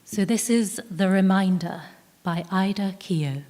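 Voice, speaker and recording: A woman's voice: mid-range voice, unhurried, mellow. Woman reading a story in an echoey hall. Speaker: reader of a short story. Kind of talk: literary story reading, first-person narration. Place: live event venue, indoors. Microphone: stage microphone. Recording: echoey hall at a live event.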